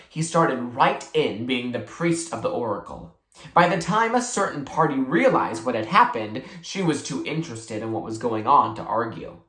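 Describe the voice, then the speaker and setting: Read-aloud narrator for a children's novel, highly varied intonation, roomy acoustic. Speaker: book reader. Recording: echoey room.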